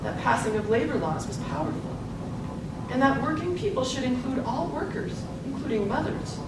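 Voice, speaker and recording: A woman's voice: big pitch swings, moderate pace. Woman acting earnest reporter in very echoey hall. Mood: declaiming. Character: earnest, eager female newspaper reporter inspired by suffrage. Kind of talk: live stage play acting. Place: large echoey room, live presentation. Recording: echoey noisy room, distant mic, low rumble.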